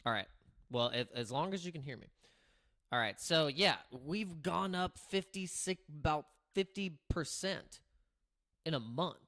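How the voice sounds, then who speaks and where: Raspy-voiced man rambling on a livestream. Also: on the high side, lively intonation, unhurried, fairly bright tone. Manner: rambling, with many restarts and filler words. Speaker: crypto livestream host. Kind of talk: casual livestream chat. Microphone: streaming microphone through streaming software.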